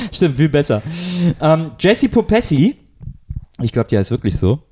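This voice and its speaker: Mellow-voiced man chatting casually. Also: fairly high pitch, very animated, a little slow, a little hiss or hum. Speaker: podcast co-host. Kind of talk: casual chat among friends.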